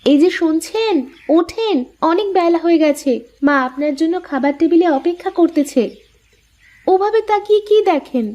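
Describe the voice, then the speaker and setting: A woman's voice: very high voice, moderate pace, dark tone. A woman voicing a sweet, respectful young cartoon bride who speaks in a coy, polite, teasing way. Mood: coaxing. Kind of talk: cartoon voice acting.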